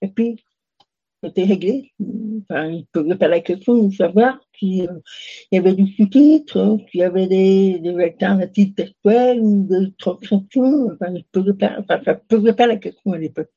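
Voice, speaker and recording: A woman's voice: mid-range voice, normal speed, warm tone, husky, lo-fi sound. A young woman answering interview questions casually, hesitant, with many fillers and restarts. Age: young adult. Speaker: interview guest.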